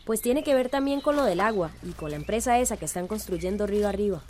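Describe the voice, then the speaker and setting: An actress playing a determined, indignant community activist in a radio drama, earnest and urgent. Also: female voice, medium pitch, lively intonation, quick pace, a little mellow, a little hiss or hum, natural voice.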